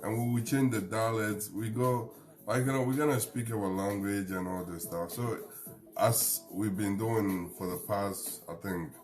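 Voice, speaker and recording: A man's voice: on the low side, a little slow, darkish tone, soft background music. A man from Ghana with a Ghanaian accent, hosting a live show and talking in an earnest, rambling way while he waits for a guest. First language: a Ghanaian language. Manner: rambling, earnest, laughs between points. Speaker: host.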